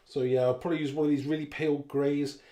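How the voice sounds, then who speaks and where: Dark-voiced man thinking aloud in a roomy space. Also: fairly high pitch, little pitch movement, quick pace. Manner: informal, thinks aloud while working. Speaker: card making demonstrator. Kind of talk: casual live craft demo talk. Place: indoor craft room. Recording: roomy echo, clear background noise, clicks.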